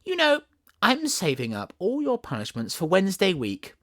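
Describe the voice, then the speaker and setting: A man's voice: sits high, huge pitch swings, moderate pace, fairly bright tone, pitched above the performer's own voice, heavily acted. A man voicing a playful young storybook girl, chattering and mock-scolding her kitten with affection. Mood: mock stern.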